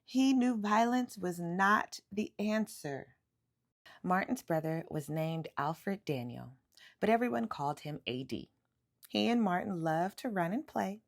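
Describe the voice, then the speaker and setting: Lady doing a kids book read aloud, super expressive pitch swings, bit of creak in her voice. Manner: animated, emphatic storytelling with drawn-out words. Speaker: children's book reader.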